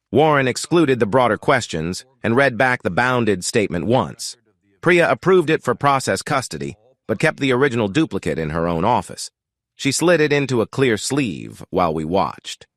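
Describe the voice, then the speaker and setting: A man giving scripted first-person story narration in an even, reflective way. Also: mid-range voice, dry recording, studio quality.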